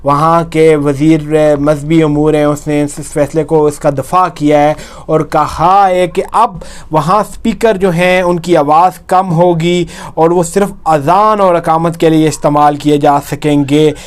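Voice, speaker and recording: A man's voice: fairly high pitch, steady tone, measured pace, clean tone. A man with a Pakistani accent presenting news with explanatory commentary, often rephrasing and repeating his points.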